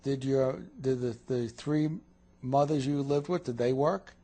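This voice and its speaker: A man's voice: medium pitch, a little slow, mellow. A man interviewing a client in a counseling session, asking short questions and repeating her answers back to check them.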